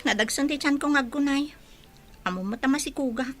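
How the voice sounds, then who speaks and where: A woman's voice: high voice, fairly quick, a bit bright, faint music behind. A woman playing a blunt, complaining village woman in a radio drama, scolding and direct. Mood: grumbling. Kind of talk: Ilocano radio drama acting.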